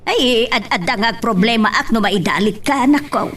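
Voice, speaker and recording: Woman acting a fretful mother, swooping pitch. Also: expressive, moderate pace, a bit bright, distant mic. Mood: pleading. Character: anxious, scolding mother of a sick grown child. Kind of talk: Ilocano audio drama acting.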